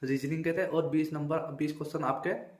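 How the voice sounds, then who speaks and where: A man's voice: a little high, fairly even intonation, moderate pace, darkish tone. A young man giving an informal, emphatic exam analysis to viewers, often repeating himself and adding check-in tags. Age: young adult. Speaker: exam-prep video creator and exam candidate. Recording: echoey untreated room.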